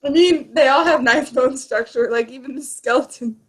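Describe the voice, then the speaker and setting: A young woman with a distinctly high voice co-hosts a book discussion. Her delivery is casual and conversational, like talk between friends. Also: moderate pace, breathy edge. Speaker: book discussion show co-host.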